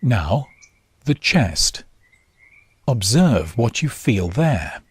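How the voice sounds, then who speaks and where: A man guiding a body scan meditation in short, measured instructions with long pauses. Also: medium pitch, very animated, breathy edge, dry recording. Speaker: meditation guide. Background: nature sounds.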